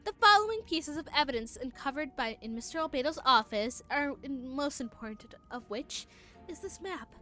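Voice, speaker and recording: A woman's voice: high voice, expressive, moderate pace, pushed and bright. A woman streamer reading game dialogue aloud during a live playthrough, often stumbling over words.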